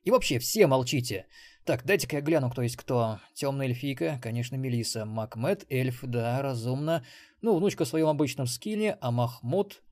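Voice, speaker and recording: Grumbling sarcastic male geek acted by a narrator, theatrical, animated pitch, storybook read. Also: moderate pace, dry recording, clean studio sound, natural voice. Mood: irritated, exasperated. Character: grumpy, sarcastic male programmer and gamer, easily irritated.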